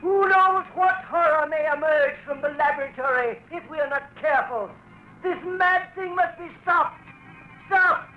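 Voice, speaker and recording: An actor playing an alarmist public orator, strident and declamatory, in 1940s radio drama style. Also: male voice, extremely high pitch, moderate pace, highs cut off, soft background music. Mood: righteous alarm. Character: alarmist, thundering public orator denouncing mad science. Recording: noisy old radio drama recording.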